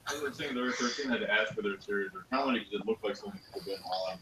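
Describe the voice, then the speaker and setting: A man's voice: on the low side, very fast. A man chatting casually as a guest panelist on a review show. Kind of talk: casual chat. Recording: roomy echo with background noise.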